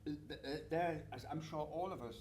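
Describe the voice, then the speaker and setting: A man's voice: fairly high pitch, fairly quick, darkish tone, a little hiss or hum. A man who is an actor, moderating a panel, talking in a rambling way and searching for words as he goes. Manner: rambling, gropes for words with many fillers and restarts.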